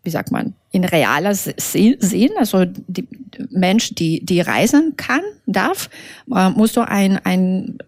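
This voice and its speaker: A woman from Belarus, a native Russian speaker, answering interview questions in halting, careful German, with many fillers and self-corrections. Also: medium pitch, animated, gravelly, darkish tone, dry recording, clean studio sound. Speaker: author being interviewed.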